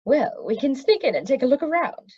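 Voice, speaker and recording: A woman's voice: sits high, very animated, fairly quick, a little mellow, highs cut off, pitched above the performer's own voice. A woman voicing a long-winded, pedantic male magician in a storybook, with formal, technical phrasing. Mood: pedantic, assured.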